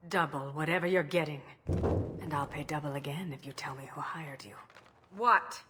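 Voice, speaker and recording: A haughty alien businesswoman villain from a video game , played by a woman, in a fairly low voice. She is imperious and paranoid, clipping her words with sardonic bite. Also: moderate pace, background noise, natural voice. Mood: defensive, bargaining.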